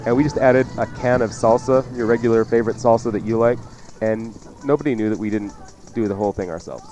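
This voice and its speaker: A man's voice: mid-range voice, quick pace, mellow, dull audio. Chatty man cooking on radio, music behind. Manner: joking, chatty, folksy. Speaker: cooking show host. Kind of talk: casual radio cooking chat with recipe instructions. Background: music under the talk.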